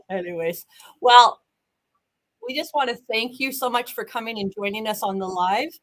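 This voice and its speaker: A woman with a Canadian accent hosting a cruise vlog live stream, bubbly and quick to laugh. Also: fairly high pitch, animated, a bit bright. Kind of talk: casual live-stream chat.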